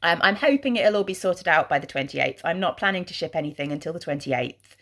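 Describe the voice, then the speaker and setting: A woman vlogging in a chatty, rambling way, her voice husky from congestion. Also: on the low side, lively intonation, a little fast, fairly bright tone. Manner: rambling, chatty, with many ums. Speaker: craft vlogger. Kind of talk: casual vlog chat. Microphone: handheld camera.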